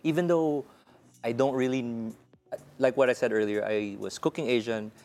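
A man from the Philippines with a Filipino accent, a chef talking casually in an interview, relaxed and halting, slipping in Filipino phrases. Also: medium pitch. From Philippines (Bacolod). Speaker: chef guest.

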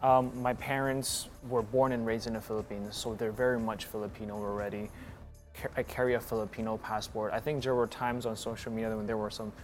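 A man's voice: fairly low pitch, flat delivery, fairly quick. Guy who grew up in hong kong, guest on a chat show, upbeat and open, bit of music behind. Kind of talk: casual interview chat.

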